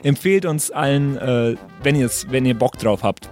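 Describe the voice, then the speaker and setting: Lively male co-host over music. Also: mid-range voice, a little slow. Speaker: podcast co-host. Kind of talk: casual joking banter.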